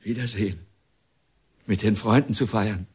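An actor playing an excitable, pompous and paternal male reformatory director in a German radio drama, halting and declamatory. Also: lowish voice, slightly rough. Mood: jovial, lecturing.

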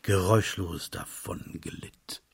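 A man's voice: deep voice, fairly even intonation, slow, gravelly. A man narrating a literary radio play as one of its storytellers.

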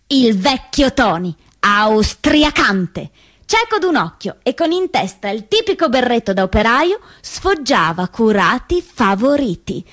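A woman narrating a scripted story as a solo theatre performer, expressive and deliberate in her telling. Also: fairly high pitch. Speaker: solo stage actress and storyteller.

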